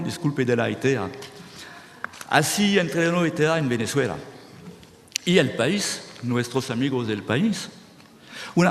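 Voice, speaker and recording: Man speaking to an audience in a hall. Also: medium pitch. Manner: animated, restarts words, checks with "¿sí?". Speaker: speaker at a conference. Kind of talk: lecture-style talk to an audience. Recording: reverberant hall, faint noise.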